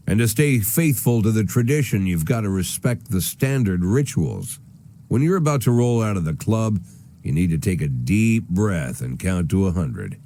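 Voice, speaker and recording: An aging, cynical American cop narrates a noir video game. His voice is deep, dark and relaxed, with dry, sardonic weariness. Some noise sits behind him. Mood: weary, sardonic. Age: older. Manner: world-weary, dry, sardonic. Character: weary, cynical aging police chief turned corrupt.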